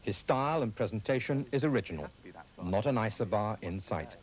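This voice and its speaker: A man's voice: on the low side, lively intonation, fairly quick, poor audio. A British man reading scripted narration as a television reporter.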